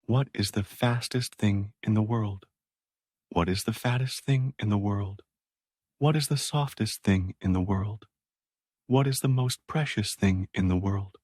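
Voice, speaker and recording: A man playing a playful, commanding emperor who poses questions in a measured way in a children's fairy tale. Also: on the low side, darkish tone, dry recording, clean studio sound. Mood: testing.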